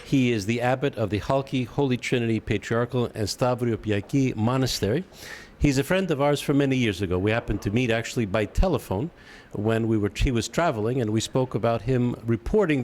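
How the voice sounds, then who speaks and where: A man hosting a conversational interview, speaking deliberately and emphatically in short phrases, often repeating himself. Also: mid-range voice, lively intonation, darkish tone, a little hiss or hum. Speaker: interviewer, TV host.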